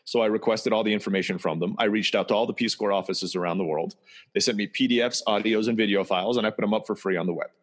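A man who grew up in Turkey, telling his life story in a relaxed, conversational way, sometimes restarting and repeating words. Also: fairly low pitch, fast talker. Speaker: interview guest. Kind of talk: storytelling personal history.

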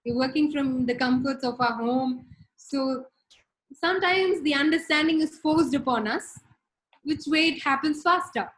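A woman's voice: high-pitched, measured pace, fairly bright tone, rough recording. A middle-aged woman from India with an Indian accent, speaking casually as a podcast guest on a remote call, thoughtful with many fillers. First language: Tamil. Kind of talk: casual interview talk.

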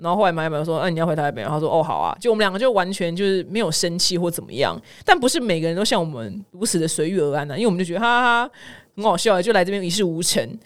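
Fast-talking Taiwanese woman podcast host. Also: fairly low pitch, animated. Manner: chatty, playful, opinionated. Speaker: host of a news commentary podcast. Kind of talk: casual comedic news commentary with personal anecdotes.

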